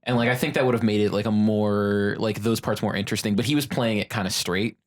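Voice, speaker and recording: A man's voice: fairly low pitch, steady tone, fast talker. Casual man co-hosting a podcast. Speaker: podcast co-host. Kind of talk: casual film discussion.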